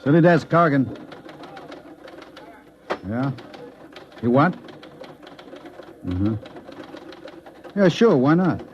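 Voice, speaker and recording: An actor playing a brisk, bossy newspaper city editor with a clipped, businesslike way of talking in an old-time radio drama. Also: male voice, a little high, big pitch swings, heavily acted. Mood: brisk, dry. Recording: dull, faintly noisy old radio recording.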